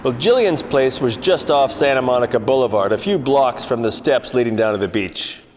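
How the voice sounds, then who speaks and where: An actor playing a wisecracking, world-weary 1940s private eye, narrating in a wry, hard-boiled radio-parody style. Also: male voice, mid-range voice, moderate pace, natural voice. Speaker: actor narrating the lead part. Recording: long hall reverb, music underneath.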